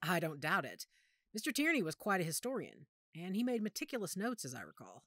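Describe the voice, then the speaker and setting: Woman playing steady werewolf lady explaining pack history, lowish voice, really lively intonation, audio drama. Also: fairly quick, no room sound, studio quality, heavily acted. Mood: informative, assured. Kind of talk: audio roleplay drama acting.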